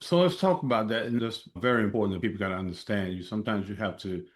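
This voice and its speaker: A man's voice: fairly low pitch, fast talker. A man hosting an interview show, encouraging his guest and asking open questions. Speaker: podcast host.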